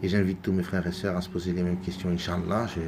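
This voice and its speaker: Deep gravelly man, halting speech. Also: flat delivery, noisy background. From France. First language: French. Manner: halting, many repeated words and fillers. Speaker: religious video maker. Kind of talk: unscripted religious commentary.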